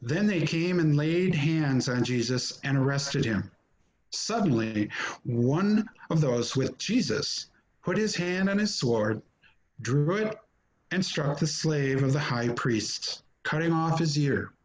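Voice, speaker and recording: Male narrator, fairly high voice, very slow pace, solemn, deliberate, scripted scripture reading. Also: animated, a little hiss or hum, poor audio. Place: remote recording on a video call. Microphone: video call.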